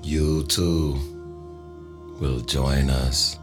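An actor playing a mindless, menacing zombie man who obeys in a halting trance, in a horror radio drama. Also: very deep voice, steady tone, music in the background, exaggerated voice. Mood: menacing, entranced. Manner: slow, halting, trance-like delivery. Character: spore-infected zombie man, mindless, menacing, obedient servant.

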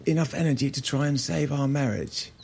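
An actor playing an earnest, frustrated husband, speaking in a strained, halting, naturalistic way in an audio drama. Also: male voice, medium pitch, gravelly, darkish tone, slight background noise, lightly acted. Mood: frustrated, pleading.

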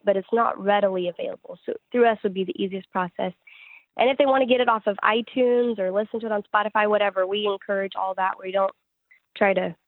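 A woman answers interview questions as a singer-songwriter in a conversational way. Her pitch moves very little, staying even from phrase to phrase. The audio is narrow and phone-like, with a dry sound. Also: no room sound.

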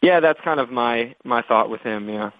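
A thin, phone-band voice with almost no room around it. An American man gives measured, conversational sports analysis on a conference call, at a middling pitch. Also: no room sound. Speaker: basketball draft analyst. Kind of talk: media conference call question-and-answer. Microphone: telephone. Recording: tinny, dry phone conference line.

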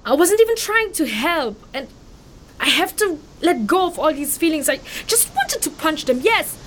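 High voiced young fantasy refugee girl, guilty n stubborn, halting emotional delivery, some rumbly noise. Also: big pitch swings, a bit bright. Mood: guilty, frustrated. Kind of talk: audio drama acting.

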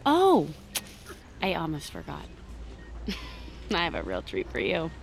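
An actress playing a tired, wry young nurse in naturalistic, conversational audio drama dialogue. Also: female voice, sits low, huge pitch swings, slow, gravelly, slight background noise, full character voice. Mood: amused, teasing.

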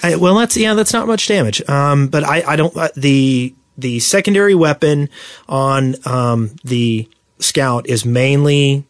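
A man co-hosting a casual gaming podcast, explaining things in a halting, self-correcting way. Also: medium pitch, no echo, clean studio sound. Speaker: podcast co-host.

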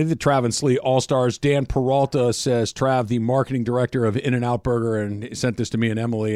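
A man's voice: medium pitch, darkish tone, no echo, clean studio sound. A man hosting a sports radio show in a conversational style. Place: radio studio.

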